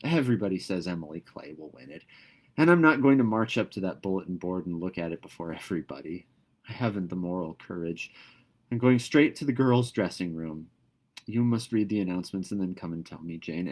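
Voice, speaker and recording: A man's voice: lowish voice, a little mellow. A man voicing a dreamy, ardent teenage girl from a storybook, speaking in earnest, effusive, flowery phrases. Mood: nervous, pleading.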